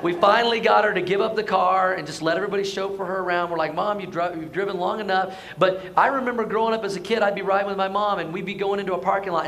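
A hoarse man preaching a conversational Sunday sermon, asking the congregation to answer back. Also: high-pitched, fairly bright tone, slight background noise. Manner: conversational, asks the congregation to respond. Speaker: pastor. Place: church sanctuary.

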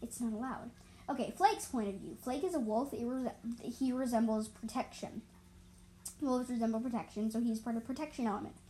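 A woman's voice: a little high, fairly quick, mellow, a little hiss or hum. A woman reading animal stories as an amateur storyteller, stumbling over and repeating words and adding explanatory asides.

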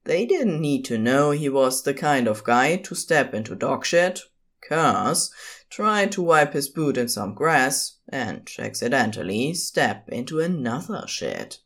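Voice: medium pitch, sing-song, a little slow, a bit bright. A narrator reading scripted fan fiction expressively, as in an audiobook. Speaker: podfic narrator.

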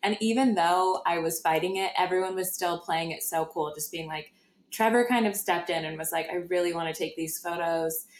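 A woman's voice: lowish voice, fairly quick. A woman hosting a podcast, telling a personal story in a casual, gushing and emphatic way. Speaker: podcast host. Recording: roomy, echoey sound.

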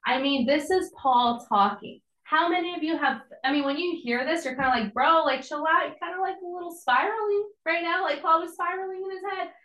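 A young woman giving a casual, encouraging devotional sermon, her voice a little hoarse as she recovers. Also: very high voice, a little fast, few pauses, fairly bright tone, echoey room, rough recording. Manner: encouraging, conversational preaching with casual asides.

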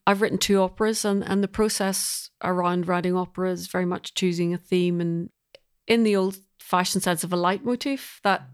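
A woman's voice: lowish voice, steady tone, moderate pace, clear, resonant tone. A woman with a Belfast accent speaking thoughtfully as an interview guest, explaining her work in a reflective, conversational way. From Belfast, Northern Ireland.

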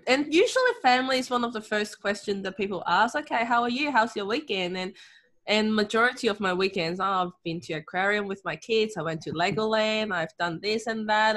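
A middle-aged woman from Hong Kong with a Hong Kong English accent, chatting in an interview, lively, often laughing, with frequent ums. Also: mid-range voice. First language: Cantonese. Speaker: guest, author and confidence coach. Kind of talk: casual interview talk.

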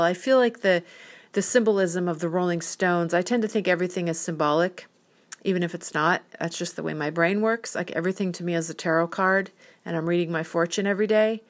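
Woman, fifty years old, rambling and dreamy, reflective monologue, slightly low voice. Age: 50s. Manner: dreamy, rambling, spiritual musings. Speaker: podcast host.

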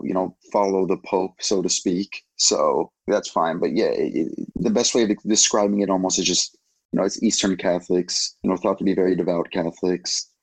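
Deep-voiced man, hesitant explaining. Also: darkish tone, no room sound, low-quality recording. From Mississippi. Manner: hesitant, many fillers. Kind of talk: casual explanation.

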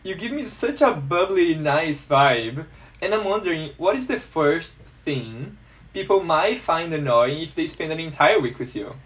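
A man's voice: fairly high pitch, expressive, unhurried, fairly bright tone. A man hosting a podcast interview, friendly and playful, with some hesitant restarts. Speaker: podcast host.

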